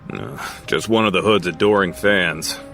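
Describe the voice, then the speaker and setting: Jaded police officer, creaky voice, sarcastic grumbling, faint music, audio drama. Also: male voice, deep voice, moderate pace, a bit bright. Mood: exasperated. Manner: weary, sarcastic.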